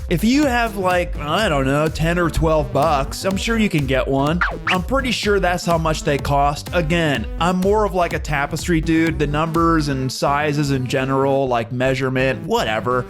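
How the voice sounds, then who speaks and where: Music plays clearly behind a fairly high-voiced young slacker guy played by an actor. He drawls a vague, clueless shop ad in a casual, rambling way. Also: moderate pace, few pauses, lightly acted. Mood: laid-back, vague. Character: laid-back clueless young male tapestry shop pitchman. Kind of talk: rambling comedic advertisement. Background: music under the voice.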